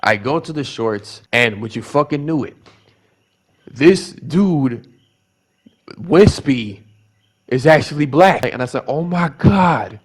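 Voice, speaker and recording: A man's voice: mid-range voice, slow, long pauses, slightly rough. Rambling young man with a Bronx accent. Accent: New York, Bronx. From the Bronx, New York. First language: Spanish. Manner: rambling and animated, with many restarts and repeated words. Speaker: vlogger. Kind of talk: casual storytelling vlog.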